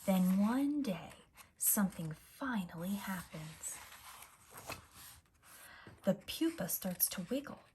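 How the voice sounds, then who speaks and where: Female preschool storyteller, unhurried, very animated pitch, slight background noise. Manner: slow, expressive, simple deliberate phrasing. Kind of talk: read-aloud picture book narration for young children.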